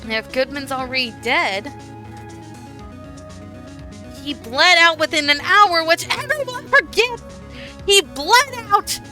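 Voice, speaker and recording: High-voiced animated woman streaming a game. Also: a little slow. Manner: animated, exclamatory, mild cursing. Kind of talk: livestream playthrough commentary, reading dialogue aloud. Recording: music playing behind voice. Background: game music.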